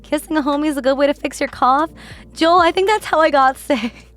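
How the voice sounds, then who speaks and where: A woman's voice: fairly bright tone, soft background music. Giggly streamer woman with a worn voice. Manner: giggly, laughs often, deliberately lowered voice; chatty, rambling with fillers. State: voice worn from a bad cough. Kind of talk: casual livestream chat.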